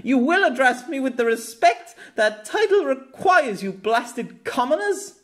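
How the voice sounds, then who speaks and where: A man's voice: very high-pitched, big pitch swings, a little slow, pitched above the performer's own voice, full character voice. A man voicing a pompous, indignant young nobleman guard captain in a haughty bellow for an audiobook. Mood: outraged, haughty.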